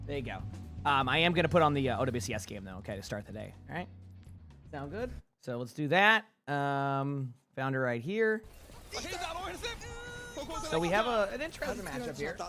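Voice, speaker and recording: A man streaming live and chatting casually with his viewers while watching a match, rambling with lots of fillers, his voice going a little hoarse. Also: mid-range voice, big pitch swings, moderate pace, long pauses, bright, forceful tone, faint music behind. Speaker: game streamer.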